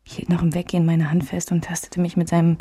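Dry understated woman telling about her lover, husky, close dry sound, radio play. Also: fairly low pitch, monotone, fast talker, warm tone, no room sound. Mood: dry, wistful. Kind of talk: German radio drama first-person narration.

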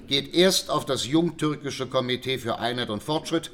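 An actor playing a scholarly German writer in his sixties who gives expert testimony in a measured, formal way in a radio drama. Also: mid-range voice, fairly bright tone, close to an everyday voice. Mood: explanatory. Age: older. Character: scholarly expert witness, sixty-two-year-old German writer.

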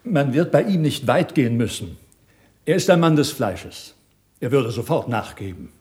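An actor playing a zealous, scheming cardinal inquisitor in a radio drama, rhetorical, insistent and scornful. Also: male voice, mid-range voice, big pitch swings, moderate pace, a little mellow, close to an everyday voice. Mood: coolly sly. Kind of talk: 1960s German radio play acting.

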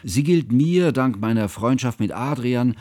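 An actor playing an earnest, bookish man narrating memories of a friend in formal, measured for a radio drama. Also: mid-range voice, animated, dark tone, dry recording, clean studio sound, lightly acted. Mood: solemn. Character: earnest, bookish male narrator recalling his friend's childhood.

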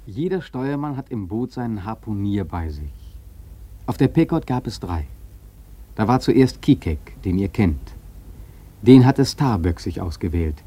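A man's voice: fairly low pitch, big pitch swings, measured pace, warm tone, slightly rough, full character voice. An actor playing a seasoned, wry sailor who recounts his whaling voyage in a radio play, musing and emphatic. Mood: storytelling. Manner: musing, rhetorical, emphatic storytelling. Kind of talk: literary radio play narration.

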